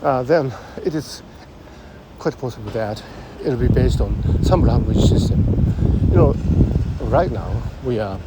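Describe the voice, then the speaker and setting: A man with a Japanese accent, thinking aloud in a halting way, full of fillers, while walking along a busy city street. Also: mid-range voice, lively intonation. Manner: thoughtful, halting, many fillers. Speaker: vlogger. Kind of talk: musing monologue while walking. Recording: loud street traffic behind.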